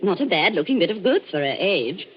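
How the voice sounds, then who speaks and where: An actress playing a cynical, wisecracking young stage actress in a 1940s radio play. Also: female voice, lowish voice, sing-song, a little fast. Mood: wry. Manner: dry, wisecracking. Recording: dull old radio recording with faint hiss.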